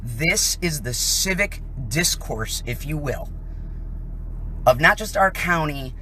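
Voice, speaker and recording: A woman's voice: low-pitched, lively intonation, slow pace, bright, forceful tone, slight background noise. An American woman giving emphatic, indignant live commentary from inside a vehicle, leaning on rhetorical questions and pointed statements. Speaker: citizen filming a protest from a vehicle. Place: inside a vehicle on a residential street.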